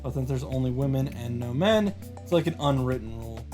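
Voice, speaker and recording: A man reading visual novel text aloud and adding his own animated reactions and summary commentary. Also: mid-range voice, brisk, music in the background. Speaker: visual novel streamer and narrator.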